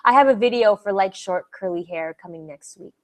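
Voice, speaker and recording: A woman's voice: medium pitch, brisk, darkish tone. A young woman from Canada hosting a live Q&A stream, chatty and bubbly, giggling often and restarting her sentences as she answers viewer comments.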